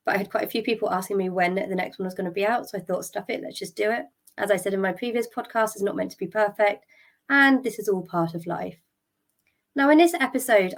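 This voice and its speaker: A middle-aged woman talks into a podcast microphone, her voice a little croaky from a cold. She speaks candidly and sounds drained, thinking aloud with ums and small restarts. Her pitch sits in an ordinary middle range. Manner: tired and drained. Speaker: podcast host. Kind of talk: solo podcast talk.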